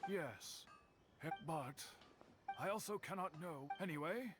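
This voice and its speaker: A man's voice: on the high side, measured pace, close to an everyday voice. An actor playing an earnest, polite, talkative man in a video game, speaking formally and effusively. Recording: music behind the voice.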